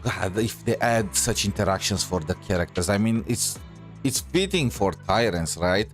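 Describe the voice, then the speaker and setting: A man doing casual, joking gameplay commentary as a streamer, in a hesitant, halting way. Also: on the low side, animated, faint music behind. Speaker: gaming streamer.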